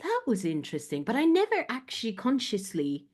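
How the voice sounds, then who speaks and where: A woman from Poland whose first language is Polish, a guest talking reflectively in an interview and often pausing to find her words. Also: a little high, wildly swooping pitch, a little mellow. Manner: thoughtful, searching for words, many fillers. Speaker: guest, business coach.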